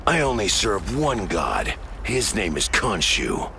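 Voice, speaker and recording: A man playing a gruff, menacing mercenary warrior in a video game, gravelly, blunt and terse. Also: low voice, wildly swooping pitch, slow, bright, forceful tone, slight background noise, full character voice.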